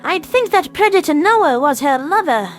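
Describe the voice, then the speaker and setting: A man's voice: very high-pitched, animated, normal speed, a bit bright, soft background music, pitched above the performer's own voice. A man voicing a haughty, scornful female avian alien ambassador in a sci-fi audio drama, indignant and disdainful.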